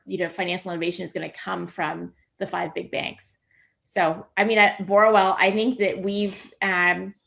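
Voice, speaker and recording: Female fintech entrepreneur panelist: low register, gravelly fry, measured and thoughtful. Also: fairly bright tone. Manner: thoughtful, hedging with "I think". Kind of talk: webinar panel discussion.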